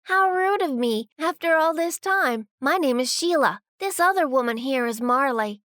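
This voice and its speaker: Woman acting an eerie, polite, high-voiced squatter. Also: animated, moderate pace, fairly bright tone, no room sound, clean studio sound. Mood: eerily cheerful. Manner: mannered, formal phrasing. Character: eerily cheerful, polite squatter woman with uncanny calm. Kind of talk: audio drama dialogue acting.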